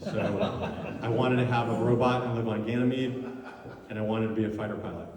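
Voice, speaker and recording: Low-voiced man in an echoey hall. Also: steady tone, distant mic, a crowd in the background. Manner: halting, many fillers and restarts. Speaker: speaker giving a presentation. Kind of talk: personal talk about faith and career.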